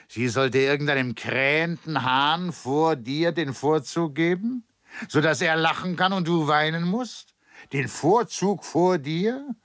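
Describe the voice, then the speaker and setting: A man's voice: medium pitch, fairly bright tone, no echo, clean studio sound. A man voicing a domineering male stage magician who coaxes and presses in a theatrical, insistent way, read as part of an audiobook.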